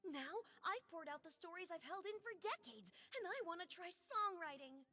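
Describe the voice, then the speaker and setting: A woman voicing an earnest, expressive female video game character with theatrical delivery, recorded with long reverb. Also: very high voice, moderate pace, full character voice. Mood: wistful.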